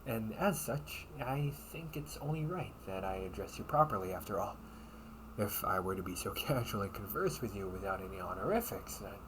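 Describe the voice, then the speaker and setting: A man playing a loyal young knight in an audio roleplay, a caring, gently teasing friend who speaks in halting, formal phrases. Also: medium pitch, background noise. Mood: respectful, reluctant. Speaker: amateur audio roleplay performer. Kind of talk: intimate audio roleplay acting.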